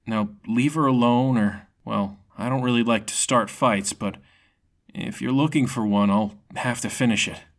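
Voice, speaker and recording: A man voicing a kind, modest demon swordsman hero in a fantasy story, earnest and halting. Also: mid-range voice, natural voice. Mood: calm warning.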